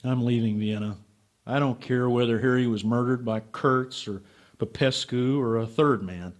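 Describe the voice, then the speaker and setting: A man's voice: medium pitch, fairly even intonation, moderate pace, natural voice. A man playing a bitter, lovelorn, hard-drinking writer in a radio drama, brooding and stubborn. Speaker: actor and narrator. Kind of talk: radio drama acting and first-person narration.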